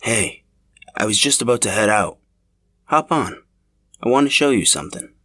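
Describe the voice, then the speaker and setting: A shy, brooding young man from a narrated story, acted out by a male reader. His voice is low and soft-spoken. He confides tenderly, with some hesitation. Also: lively intonation, normal speed, husky, full character voice. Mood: casual, inviting.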